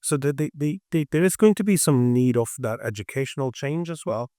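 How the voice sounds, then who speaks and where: Male, mid-pitched and clear, emphatic conversational expert, dry close sound. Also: lively intonation, fairly quick, mellow, no echo. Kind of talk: animated industry discussion.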